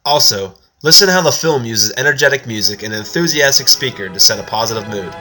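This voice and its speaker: A man's voice: medium pitch, lively intonation, fast talker, sharp tone, faint music behind, rough recording. A man reading a scripted, structured explanatory narration as a video essayist.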